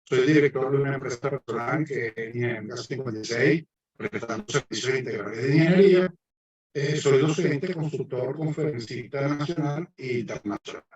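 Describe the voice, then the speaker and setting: A man's voice: medium pitch, normal speed. A man with a Venezuelan accent giving an online engineering lecture, with frequent hesitations and fillers. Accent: Venezuelan Spanish. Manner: hesitant, many fillers and restarts. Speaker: guest lecturer, civil engineer. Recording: echoey distant muffled mic.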